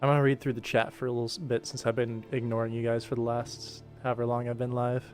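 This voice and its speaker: An American man with a medium-pitched voice talks casually on a livestream while he works. He often sounds like he is thinking aloud. Music plays behind him. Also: little pitch movement, fast. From United States. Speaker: livestreamer and animator.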